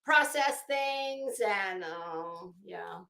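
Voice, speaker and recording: A woman's voice: medium pitch, lively intonation, drawn-out words, creaky, pushed and bright, roomy sound. A woman hosting a casual livestream, chatting to viewers in a rambling, opinionated, emphatic way. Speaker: livestream host.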